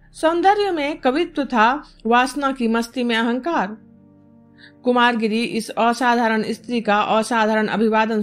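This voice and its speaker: A woman reads a literary novel as an audiobook narrator, in measured, formal diction. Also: fairly high pitch. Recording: music bed behind the voice. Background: instrumental music.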